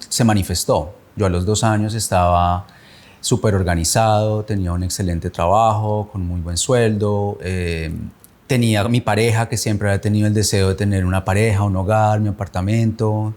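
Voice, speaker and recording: A man in his thirties from Bogotá with a Colombian accent, giving a reflective personal testimony, measured and often searching for words. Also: on the low side, darkish tone.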